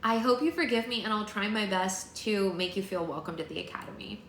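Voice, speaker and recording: A woman's voice: mid-range voice, a little fast, fairly bright tone, voice pushed up, lightly acted. A woman voicing a proud, prim schoolgirl witch in a storybook. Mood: reluctant apology. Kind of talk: storybook character dialogue. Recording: roomy echo, faint background noise.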